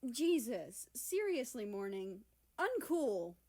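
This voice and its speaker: A woman's voice: on the high side, sing-song, slow, darkish tone, voice pushed up, exaggerated voice. Woman voicing an exasperated, whiny young man. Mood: indignant. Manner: groaning, whiny, indignant. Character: exasperated, pleading young male university student.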